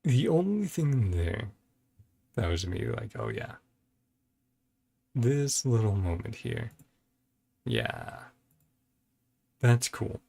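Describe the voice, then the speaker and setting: A man giving casual reaction commentary as a video host, halting as he thinks aloud. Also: lowish voice, huge pitch swings, creaky, warm tone, husky. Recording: faint music behind the voice. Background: faint music.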